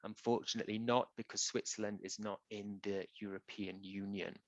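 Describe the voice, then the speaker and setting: A man who works as a translator, answering audience questions in a relaxed, conversational way with frequent ums and uhs. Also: lowish voice, a little fast, dry recording. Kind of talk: conversational Q&A presentation. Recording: dull, dry lo-fi audio.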